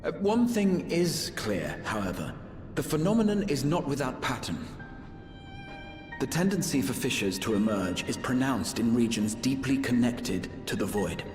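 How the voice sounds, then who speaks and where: A man's voice: medium pitch, big pitch swings, moderate pace, roomy sound, big-room sound, music in the background, lightly acted. An actor playing an earnest, analytical adventurer in a fantasy video game, thoughtful and precise in his formal phrasing.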